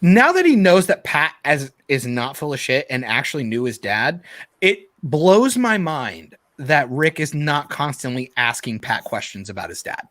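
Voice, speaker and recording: A man's voice: fairly high pitch, big pitch swings, normal speed, fairly bright tone, no room sound. A man chatting casually as a panelist on a review show, blunt, colloquial and teasing. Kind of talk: casual chat.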